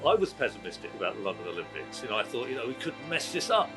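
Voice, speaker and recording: A man's voice: mid-range voice, very animated, very fast. A man giving a reflective testimonial in a campaign video. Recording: music bed under interview.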